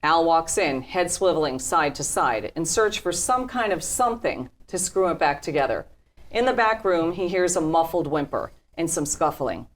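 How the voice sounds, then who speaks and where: Low-voiced woman reading stage directions. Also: lively intonation, normal speed. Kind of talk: scripted narration.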